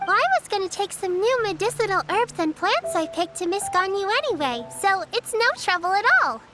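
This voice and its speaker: A woman's voice: very high-pitched, very animated, a little fast, over loud music. A woman voicing an eager, helpful little girl in a video game, speaking with earnest, polite, childlike care. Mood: cheerful, helpful. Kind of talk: video game character acting.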